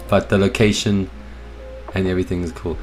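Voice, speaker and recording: Young man, hoarse froggy voice, low-pitched, hyped streamer chatter, faint music behind. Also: fairly even intonation, moderate pace. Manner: hyped, greets the chat by name. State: hoarse from a cold. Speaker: streaming host. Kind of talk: casual live-stream chat. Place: home office.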